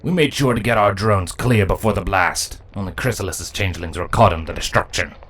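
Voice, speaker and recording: A dutiful insect drone captain, acted by a man in a fantasy audio story. He speaks in a low voice, deferential and careful, with a nervous hesitance toward his superior. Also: fairly quick, a bit bright. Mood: dutiful, reporting. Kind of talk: dramatic fan fiction audio reading.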